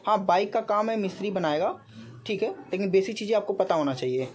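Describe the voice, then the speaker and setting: A man's voice: sits high, animated, fast talker, darkish tone. A man with an eastern Uttar Pradesh accent talking casually to viewers in a vlog, explaining things repetitively with frequent check-in tags. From Gorakhpur, India. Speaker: vlogger. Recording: clearly noisy amateur vlog audio with ambience.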